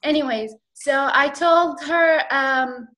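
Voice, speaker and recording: A woman's voice: high-pitched, slow pace, background noise. A woman with an Iraqi accent telling her personal story as a guest on a video call, hesitant and slightly nervous, with many fillers. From Iraq.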